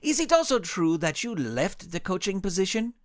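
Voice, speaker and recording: Man voicing an earnest superhero, high and deliberate. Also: very animated, no room sound, studio quality. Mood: probing, firm. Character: earnest male superhero, measured questioner of the team.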